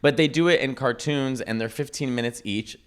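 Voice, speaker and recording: A man's voice: mid-range voice, a little fast, clear, resonant tone. Man, mid-range voice, campy and playful, casual comedic podcast chat, jokey banter.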